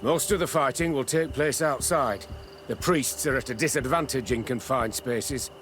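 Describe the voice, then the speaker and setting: An actor playing a dutiful military officer in a video game, speaking formally and respectfully to a superior. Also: male voice, mid-range voice, normal speed, a bit bright, faint music behind, close to an everyday voice. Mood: dutiful, ready. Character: dutiful, deferential military officer ready for orders. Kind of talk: scripted video game voice acting.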